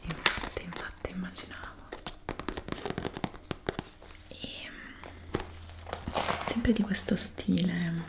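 ASMR roleplay: woman in her twenties, breathy low whisper, gentle unhurried delivery, close to the mic. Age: 20s. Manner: gentle, unhurried, speaking as if to a customer. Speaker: ASMR creator playing a stationery shop assistant.

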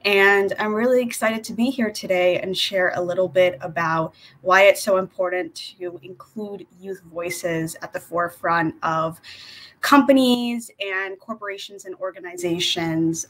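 A woman's voice: lowish voice, fairly even intonation, normal speed, a bit bright, slight background noise, low-quality recording. A young woman, a native Hindi speaker, introducing herself as a student panelist on a video call. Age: young adult. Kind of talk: self-introduction on a live panel. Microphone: computer video call.

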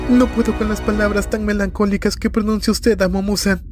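Casting: male actor as playful, unctuous anime demon, high male pitch, Latin American Spanish, syrupy courtesy. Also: little pitch movement, a little fast, over music, close to an everyday voice. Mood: mock sorrow. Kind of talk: anime fan dub acting.

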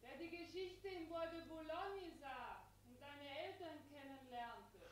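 An actress playing a curious wife who asks insistent, drawn-out questions in a 1960s radio play, heard distantly in an echoey room. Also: female voice, high-pitched. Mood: probing.

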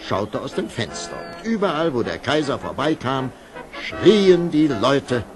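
A man narrating a scripted fairy tale as an expressive storyteller. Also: mid-range voice, unhurried, over music.

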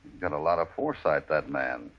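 An actor playing a steady, quietly authoritative cowboy hero in an old-time radio Western, calm, dry and understated. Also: male voice, extremely low pitch, brisk, gravelly, a crowd in the background, full character voice. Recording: dull vintage radio recording.

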